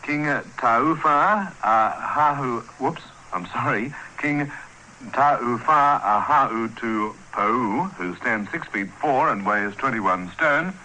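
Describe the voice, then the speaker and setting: A man's voice: medium pitch, lively intonation, takes it slowly, pushed and bright, poor audio. A man reading a formal scripted radio news bulletin. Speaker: newsreader. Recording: archive radio with faint hiss.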